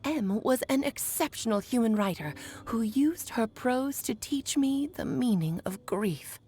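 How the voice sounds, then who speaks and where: Playful old-soul lady mage for a game, highish voice, very swoopy theatrical storytelling. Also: lively intonation, dark tone. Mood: fond, playful. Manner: theatrical storytelling, unhurried emphatic pauses. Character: Alice, playful, wise, centuries-old woman mage. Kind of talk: video game voice acting.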